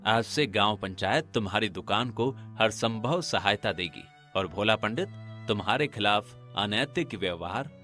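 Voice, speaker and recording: A man voicing an earnest, grave village man in a cartoon, formal and expressive. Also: on the low side, unhurried, faint music behind. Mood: apologetic, solemn.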